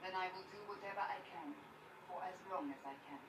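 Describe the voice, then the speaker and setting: An actress voicing an earnest, stern and devout warrior in a video game, grave, formal and emphatic. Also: female voice, fairly low pitch, fast, close to an everyday voice. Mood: dutiful, guarded. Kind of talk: video game character acting. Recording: roomy, distant game capture, loud background noise.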